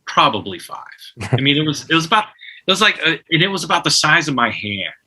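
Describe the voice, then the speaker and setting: A man in his forties, a guest musician in a casual interview, telling an animated story full of restarts and imitated phone tones. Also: on the high side, fast, breathy edge, poor audio.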